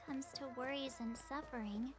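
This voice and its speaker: A woman's voice: high-pitched, steady tone, moderate pace, close to an everyday voice. An actress playing a cheeky, good-natured young female adventurer in a video game, talking in a casual, conversational way. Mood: thoughtful. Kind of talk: scripted video game voice acting. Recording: music behind the voice. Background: game music.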